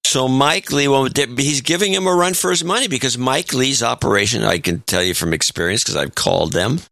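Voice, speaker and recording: A man's voice: medium pitch, brisk, vocal fry, pushed and bright, no room sound. A man co-hosting a podcast in casual chat, blunt and opinionated, often breaking off and restarting. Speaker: podcast co-host.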